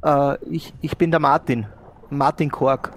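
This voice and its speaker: Halting higher-pitched man improvising a role. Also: fairly even intonation. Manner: halting, repeats words. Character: adventurous, polite male cork-shop owner. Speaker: player in improvised audio play. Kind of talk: improvised audio drama role-play.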